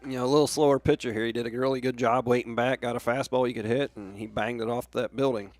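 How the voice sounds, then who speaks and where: A man's voice: mid-range voice, steady tone, quick pace. An American man giving casual baseball analysis as a radio color commentator.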